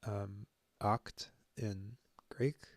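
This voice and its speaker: A young man thinking aloud as he works through a language on his own, musing and joking at his own expense, halting often with fillers. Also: on the low side, fairly even intonation, creaky, dry recording. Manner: musing, self-deprecating, halting with many fillers. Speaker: language-learning video host. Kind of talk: thinking aloud while self-studying.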